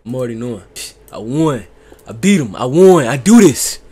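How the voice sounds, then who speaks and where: A man's voice: mid-range voice, very animated, moderate pace, warm tone. A young man hosting a reaction video from his bedroom on a headset mic, boastful and trash-talking in heavy slang. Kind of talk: excited reaction commentary.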